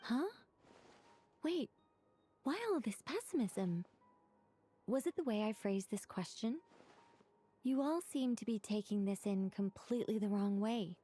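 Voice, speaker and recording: A woman's voice: mid-range voice, lively intonation, unhurried, pauses often, dark tone, soft background music. A woman voicing a knowing angel mage in a video game, chatty and explanatory while trying to stay solemn. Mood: bright, reassuring. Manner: explanatory, rambling, self-correcting.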